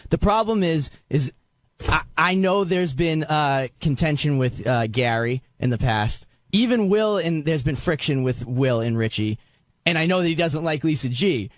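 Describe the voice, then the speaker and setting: Male radio staffer, slightly high pitch, halting and aggrieved, very dry studio sound. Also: lively intonation, no room sound. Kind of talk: casual radio discussion.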